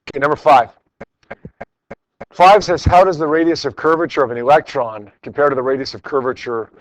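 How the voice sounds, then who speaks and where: A man's voice: medium pitch, dry recording, poor audio. A middle-aged man teaching a class in an informal, encouraging way, repeating phrases for emphasis as he works through problems. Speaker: high school physics teacher. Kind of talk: classroom lecture, working through problems with students. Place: classroom with students.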